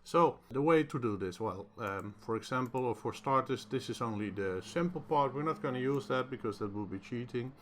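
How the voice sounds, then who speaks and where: A man hosts a casual software tutorial, talking in a hesitant, halting way as he thinks aloud. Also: medium pitch, expressive, a little hiss or hum. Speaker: tutorial host.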